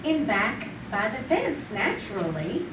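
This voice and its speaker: A woman plays a cold, scheming wife in an old-time radio melodrama, high and slow in delivery. She is heard at a distance in an echoey space. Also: big pitch swings. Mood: cool, calculating. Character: cold, ruthless, scheming wife of a tax cheat. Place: live theater stage. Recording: distant echoey stage recording, clear noise.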